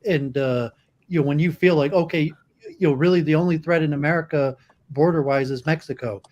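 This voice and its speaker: A man making a measured, analytical point in a live online discussion. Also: a little high, darkish tone, highs cut off. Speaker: panel participant.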